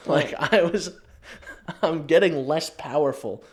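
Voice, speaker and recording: A man's voice: fairly high pitch, slow, darkish tone. Young man bantering casually, profane and slangy. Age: early 20s. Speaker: podcast co-host.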